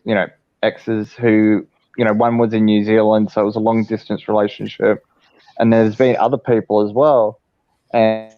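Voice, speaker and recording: A man chatting on a live stream in a soft, hesitant way, with many fillers. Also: fairly low pitch, dry recording, lo-fi sound. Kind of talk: casual chat.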